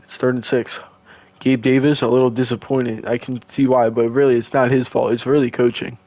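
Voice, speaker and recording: Hoarse young man calling a game. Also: medium pitch, brisk, no echo. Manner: excitable, slangy, stumbles and restarts. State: hoarse, losing his voice. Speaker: play-by-play commentator. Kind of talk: casual video game play-by-play.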